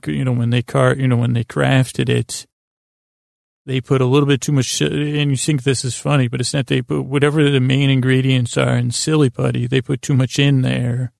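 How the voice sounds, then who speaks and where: A man with a creaky voice, hosting a podcast as a rambling, halting bedtime monologue that trails off and restarts. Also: medium pitch, a little mellow, no room sound, clean studio sound. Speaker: podcast host.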